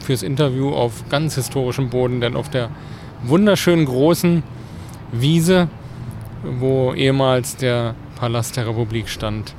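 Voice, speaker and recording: A man's voice: mid-range voice, measured pace, darkish tone. A man interviewing someone in a casual, informal way, with slightly hesitant phrasing. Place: outdoors on a large urban lawn. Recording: noisy outdoor urban recording.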